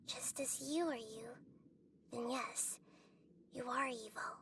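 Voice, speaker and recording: A woman playing a small, wise goddess in a video game, speaking calmly and deliberately with gentle gravity. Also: a little high, background noise. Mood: grave, philosophical. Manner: calm, philosophical, deliberate. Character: small, serene, wise female deity, gentle and grave. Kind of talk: dramatic video game voice acting.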